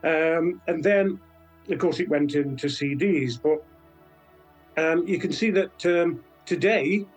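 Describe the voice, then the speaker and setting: An older British man answers interview questions in a fairly high voice. He is measured and hesitant, feeling his way through each explanation, with music faintly behind him. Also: husky, rough recording. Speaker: interview guest, science fiction author.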